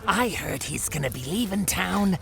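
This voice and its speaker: A woman playing a scaly male barroom thug in a noir audio drama, with a gravelly voice and a taunting, slangy wiseguy drawl. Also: lowish voice, expressive, normal speed. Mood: veiled menace.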